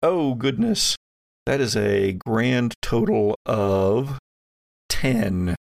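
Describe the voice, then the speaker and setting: A man with noticeable fry in the voice narrates a horror tabletop game as its game master. The delivery is improvised and halting, and the sound is close and dry. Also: mid-range voice, expressive, no room sound. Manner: halting, thinking aloud as they narrate.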